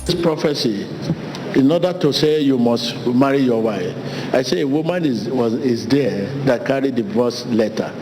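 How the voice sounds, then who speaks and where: A man's voice: medium pitch, animated, moderate pace, a crowd in the background. A man preaching and leading prayer to a congregation in short, repeated phrases. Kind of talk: sermon and call-and-response prayer. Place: large church hall before a congregation. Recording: roomy church hall, long echo, noisy.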